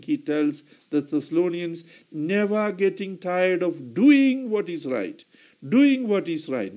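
High-voiced man preaching a slow homily. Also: mellow. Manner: slow, deliberate delivery with throat clearing and self-corrections.

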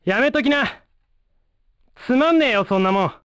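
An actor playing a brash, cocky young male fighter in a Japanese fighting game, speaking in rough, breezy slang. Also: extremely high pitch, animated, normal speed, a bit bright, dry recording, clean studio sound. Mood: world-weary, frank.

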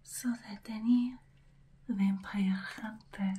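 A young woman playing a haughty, menacing, centuries-old female vampire in an ASMR roleplay, in a breathy, taunting whisper. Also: medium pitch, fairly even intonation, mellow. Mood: haughty, deliberate. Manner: slow, deliberate, taunting, condescending.

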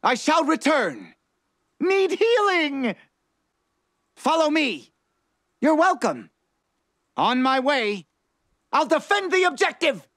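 A man's voice: very high-pitched, big pitch swings, takes it slowly, pauses often, fairly bright tone, dry recording, clean studio sound, exaggerated voice. A man voicing a manic, mischievous little male fox mad scientist in a video game, calling out short theatrical lines. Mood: theatrical alarm. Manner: exclamatory, theatrical short barks.

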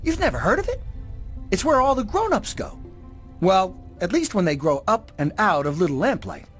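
A man's voice: high-pitched, big pitch swings, normal speed, a bit bright, soft background music. A young man playing an eager, whiny, naive teenage boy in a video game. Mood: eager, persuasive.

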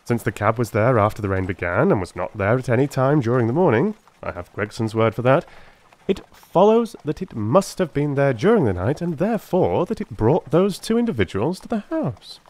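A man voicing a brisk, self-assured detective who lectures precisely and emphatically, in a dramatised Victorian audiobook. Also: medium pitch, sing-song, a little mellow, lightly acted. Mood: confident, animated.

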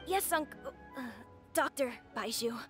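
A woman's voice: sits high, expressive, a little slow. A woman voicing an earnest, polite young boy in a video game, with a childlike manner. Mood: polite, hesitant. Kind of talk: video game character acting. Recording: music behind the voice.

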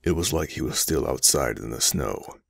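A man's voice: a bass voice, lively intonation, normal speed, vocal fry, no room sound, clean studio sound. A man reading scripted narration for a dramatic story.

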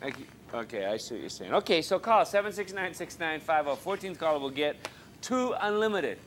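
A man's voice: fairly high pitch, very animated, brisk, fairly bright tone, slight background noise. A man with a Boston accent hosting a live call-in TV show, teasing and joking with guests and callers. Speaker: TV talk show host. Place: TV studio with audience.

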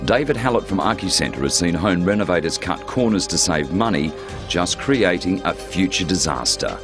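A man's voice: low-pitched, expressive, moderate pace. A man with an Australian accent reading scripted narration as a television news reporter. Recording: loud music under narration.

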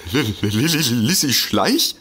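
An actor playing an excitable, smitten, bumbling detective who stammers and gushes in a comedic audio drama. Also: male voice, medium pitch, unhurried, husky, heavily acted. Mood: flustered surprise. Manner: stammering, gushing, giggly, easily flustered.

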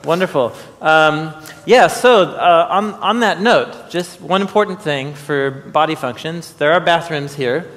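A man giving casual live announcements from a stage as host, in an informal, halting, chatty manner. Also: on the high side, a bit bright. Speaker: host and presenter. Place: theatre-style church sanctuary stage, indoors. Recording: echoey hall, stage event.

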